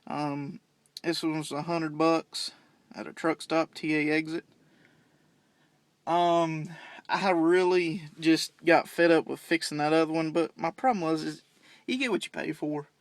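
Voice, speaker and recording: A man's voice: a little high, fairly even intonation, unhurried, dry recording. A young man giving a casual, plain-spoken, unscripted how-to walkthrough, explaining things step by step. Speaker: CB radio hobbyist giving a demonstration. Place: in and around parked vehicles at home.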